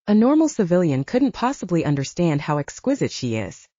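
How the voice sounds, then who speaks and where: A woman's voice: on the low side, sing-song, brisk, mellow, no echo. A woman reading the lines of a blunt, mocking male werewolf friend in an audiobook. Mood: teasing. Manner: mocking, frank. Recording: dull dry lo-fi audio.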